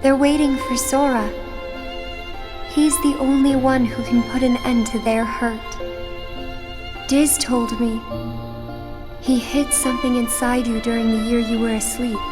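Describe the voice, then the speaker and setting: A gentle teenage girl, voiced by a woman in a video game, speaking softly in a high, slow voice. Loud music plays under her words throughout. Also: flat delivery, close to an everyday voice. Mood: wistful. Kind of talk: video game cutscene acting.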